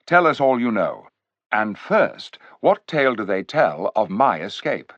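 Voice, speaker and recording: A man's voice: fairly low pitch, sing-song, measured pace, a bit bright, dry recording, heavily acted. A man voicing a noble young king who speaks in a formal, old-fashioned way. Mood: grave. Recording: dull, dry recording.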